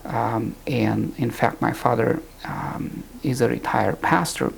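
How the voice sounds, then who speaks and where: A man from Romania, giving an earnest, deliberate recorded teaching talk on the Bible and pausing to find his words. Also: medium pitch, creaky, slight background noise, rough recording.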